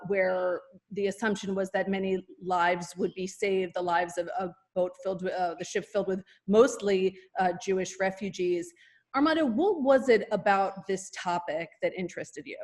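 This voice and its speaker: A woman interviewing an author, speaking earnestly and haltingly, with many fillers and restarts. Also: medium pitch. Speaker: interviewer, radio host. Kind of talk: conversational interview.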